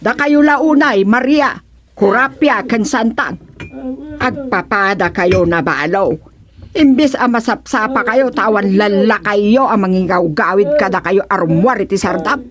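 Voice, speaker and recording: A woman's voice: mid-range voice, a little slow, crowd noise, lightly acted. An actress playing a strict, scolding woman who leads a village prayer group, in an Ilocano-accented radio comedy-drama. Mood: scolding, nagging. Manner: scolding, complaining.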